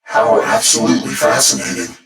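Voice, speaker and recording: A man's voice: a little fast, over a noisy crowd, natural voice. A man voicing a naive, curious young male lodger in a spooky story, speaking courteously and a little hesitantly. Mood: polite, impressed. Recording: echoey, distant voice over music.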